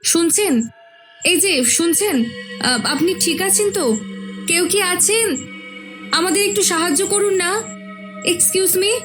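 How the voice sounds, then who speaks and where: A woman's voice: extremely high pitch, measured pace, long pauses, music in the background. A woman voicing a caring, dutiful young daughter in a horror cartoon. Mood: alarmed. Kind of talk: animated horror cartoon dialogue.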